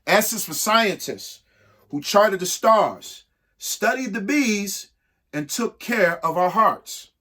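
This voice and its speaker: A man reads a picture book to children with theatrical emphasis. His fairly high voice swings widely in pitch, and he takes his time, leaving dramatic pauses between phrases. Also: expressive, sharp tone. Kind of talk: expressive picture-book read-aloud with short asides to young listeners.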